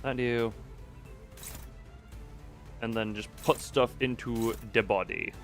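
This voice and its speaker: A man's voice: medium pitch, fairly even intonation, slow pace. A man doing casual livestream game commentary, thinking aloud in a rambling way and often trailing off mid-sentence. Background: game music.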